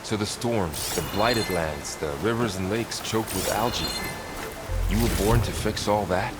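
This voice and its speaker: Low-voiced, easygoing young warrior companion played by an actor. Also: male voice, measured pace, lightly acted. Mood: curious. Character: loyal young male warrior companion, easygoing, curious, alert. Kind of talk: naturalistic video game acting. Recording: loud game music over the voice.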